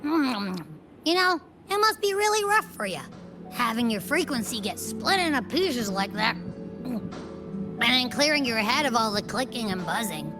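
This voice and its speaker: A woman's voice: fairly high pitch, big pitch swings, unhurried, music in the background. A woman voicing a casual, cheeky young woman in a video game, with a breezy, informal way of talking. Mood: sympathetic.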